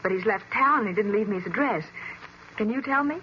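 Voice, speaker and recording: A woman playing a tactful, quick-witted female detective's assistant with an American accent, polite and coaxing, in 1940s radio acting style. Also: mid-range voice, lively intonation, lightly acted. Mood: gently coaxing. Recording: muffled, hissy old radio recording.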